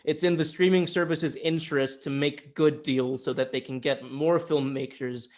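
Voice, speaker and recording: A man with a fairly high-pitched voice talks casually as a review co-host. He gives opinions in a halting way, often restarting his phrases. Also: fairly even intonation.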